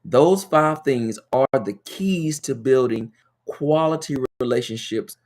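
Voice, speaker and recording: Hoarse man hosting an education webcast. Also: mid-range voice, expressive, takes it slowly, a little mellow. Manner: emphatic, stretches key words; speaks straight to viewers. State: hoarse from sinus trouble. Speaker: education webcast host and consultant. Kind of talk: casual webcast talk.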